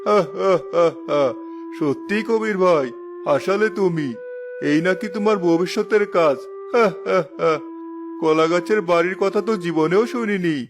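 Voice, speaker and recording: A man's voice: sits high, measured pace, over music. A man voicing a smug, mocking village neighbour in a cartoon, teasing and sarcastic. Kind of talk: cartoon voice acting.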